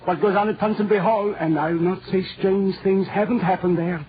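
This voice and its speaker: An actor playing an obliging but guarded Welsh village undertaker in 1940s radio style, with a sing-song, deferential manner. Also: male voice, sits high, steady tone, moderate pace, natural voice. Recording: muffled, hissy old radio recording.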